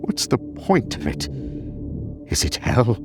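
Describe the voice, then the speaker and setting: A man's voice: extremely low pitch, very animated, slow pace, heavily acted. A man acts a fearful, ancient emperor in an audio fiction piece. His agitated voice leaps up and down as he pleads. There is music behind the desperate delivery.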